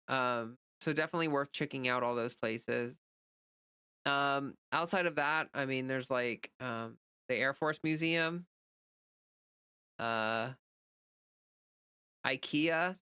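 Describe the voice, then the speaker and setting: American woman streamer just chatting, bright crisp voice, informal and rambly, really dry sound. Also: extremely low pitch, no room sound. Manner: rambling, informal, frequently reading out and answering comments. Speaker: livestream host answering viewer chat. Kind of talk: casual unscripted chat.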